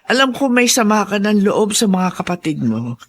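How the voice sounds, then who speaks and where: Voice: medium pitch, big pitch swings, moderate pace. A performer playing a concerned older neighbour woman in a radio drama, hesitant, confiding and pleading. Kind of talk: Tagalog radio drama acting.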